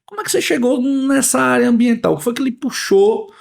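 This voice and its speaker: A male podcast host with a notably high voice and a gravelly edge. He keeps things chatty and jokey, asking his guest leading questions. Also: a little fast. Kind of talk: casual podcast interview.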